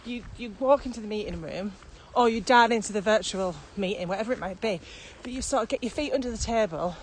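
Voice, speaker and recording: A woman's voice: mid-range voice, fast talker, low-quality recording. A woman chatting casually as a podcast co-host. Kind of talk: casual conversational podcast chat. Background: steady ambient noise.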